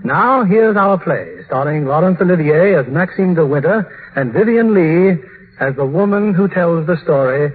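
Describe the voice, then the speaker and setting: A man's voice: on the high side, animated, normal speed. A man hosting a 1950 radio theatre, reading a scripted introduction in a formal announcer's manner. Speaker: radio host. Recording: muffled, husky-sounding old radio broadcast.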